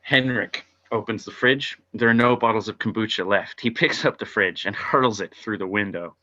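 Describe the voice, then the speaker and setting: A man's voice: fairly low pitch, moderate pace, pushed and bright. A man narrating stage directions from a script at an informal table read, heard over lo-fi video call audio.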